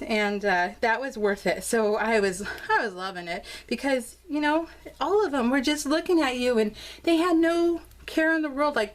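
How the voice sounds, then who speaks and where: An American woman chatting casually and unscripted as a crafting vlogger, with a bubbly, gushing, rambling manner. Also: high voice. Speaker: crafting vlogger showing scrapbook pages.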